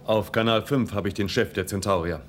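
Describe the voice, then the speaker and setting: An actor playing a dutiful, formal communications officer in a science-fiction radio play. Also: male voice, lowish voice, steady tone, a little fast, soft background music, lightly acted. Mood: sober reporting.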